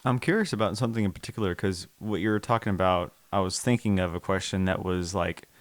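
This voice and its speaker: Low, smooth-voiced male podcast host. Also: quick pace, no echo. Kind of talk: conversational interview.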